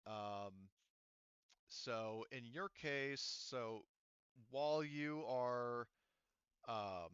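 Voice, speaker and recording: A man refereeing a tabletop game as game master in a casual, halting way, thinking aloud as he goes. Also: mid-range voice, fairly bright tone, clean tone, no room sound, lo-fi sound.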